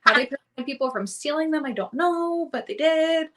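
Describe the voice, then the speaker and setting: A woman with a Baltimore accent co-hosting a podcast in casual chat, rambling with many "like"s and quick self-corrections. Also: high voice, expressive, fairly bright tone. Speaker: podcast co-host.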